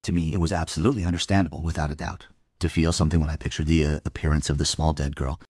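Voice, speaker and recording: A man playing a world-weary police detective, narrating a crime audio drama in a measured, musing way. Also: rumbling low, little pitch movement, darkish tone, breathy edge, no room sound, studio quality. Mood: reflective. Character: world-weary male police detective telling his case.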